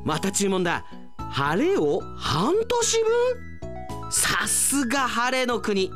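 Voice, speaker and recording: A man acts out an eager, conscientious male company worker in a radio play. He speaks in a very lively, swinging pitch, earnestly exclaiming to himself as he works. Music plays clearly behind him. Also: slow pace, heavily acted. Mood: surprised, pleased. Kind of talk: radio drama acting.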